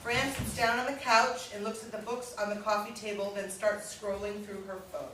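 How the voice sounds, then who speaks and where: A woman's voice: mid-range voice, little pitch movement, moderate pace. A woman reading out stage directions at a staged play reading in a scripted narration style. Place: indoor staged reading, recorded from afar. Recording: echoey room, distant mic, clicks, noise.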